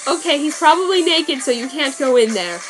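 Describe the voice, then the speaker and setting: A woman voicing an excitable teenage boy in a gleeful, eager way. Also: sits high, brisk, pitched above the performer's own voice. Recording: background music.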